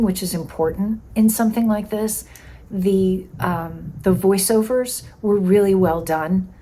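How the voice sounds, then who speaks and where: Mellow woman giving appreciative film feedback. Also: mid-range voice, slight background noise, poor audio. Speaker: film festival audience member giving feedback.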